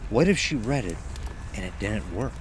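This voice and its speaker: Low-voiced man narrating horror, noisy ambience behind. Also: sing-song, normal speed. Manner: suspenseful, dramatic delivery with acted dialogue. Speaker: storyteller performing an audio drama. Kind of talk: scripted horror story narration.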